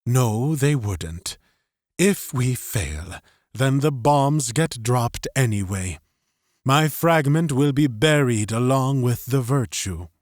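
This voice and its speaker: A man acts out a resolute idealist in an audiobook story. His delivery is slow, earnest and halting, with a lot of movement in the pitch. The recording is very dry and close. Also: animated, dry recording. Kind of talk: audiobook character acting.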